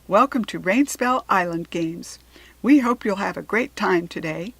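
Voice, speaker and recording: A woman voicing a hearty male games organizer in a children's storybook, making loud, emphatic announcements. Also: mid-range voice, lively intonation, unhurried, vocal fry, husky, dry recording, exaggerated voice. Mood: hearty, welcoming. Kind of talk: children's storybook character reading.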